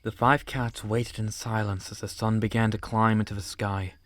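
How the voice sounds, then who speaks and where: Low-voiced man narrating. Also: level tone, normal speed. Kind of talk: scripted audiobook narration.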